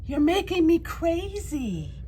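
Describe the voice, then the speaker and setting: Lady doing worried little fish boy from a kids book, high pitched, fretful exclaiming. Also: sing-song, slow pace, warm tone, a little hiss or hum, full character voice. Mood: exasperated.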